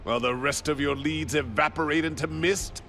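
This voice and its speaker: An actor playing an arrogant, impatient rich bully in a video game, with a British accent and a sneering, condescending manner. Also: male voice, on the high side, moderate pace, fairly bright tone, soft background music.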